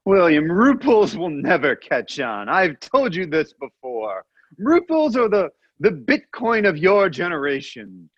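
A man's voice: fairly high pitch, wildly swooping pitch, a little slow, no echo, dull audio, exaggerated voice. A man voicing Randolph, a pompous, indignant young royal, with a put-on Polish accent and a fussy, grandiose manner.

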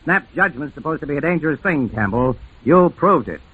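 A man's voice: a little high, animated, a little fast, loud music behind the voice, natural voice. A man playing a shrewd, blunt police lieutenant in an old-time radio mystery, with probing, emphatic delivery on a muffled vintage recording. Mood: accusing.

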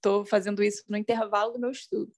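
Woman singer as guest, mid pitch, gravelly fry, friendly. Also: little pitch movement, fast talker. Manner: friendly, grateful. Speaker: guest artist. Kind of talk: casual chat.

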